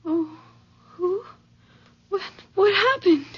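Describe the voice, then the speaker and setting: Bewildered young woman, very high voice, breathless, emotional, old radio mystery, dull lo-fi sound. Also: takes it slowly. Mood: faint distress. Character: excitable young engaged woman, bewildered and easily overwhelmed. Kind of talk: radio drama acting. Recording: muffled vintage radio drama recording.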